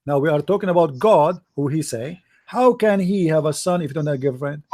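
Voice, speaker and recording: A man's voice: a little high, lively intonation, brisk, a little mellow, no echo. A man with an Arabic accent, a native Arabic speaker, arguing emphatically as host of a call-in religious debate in repetitive, non-standard English.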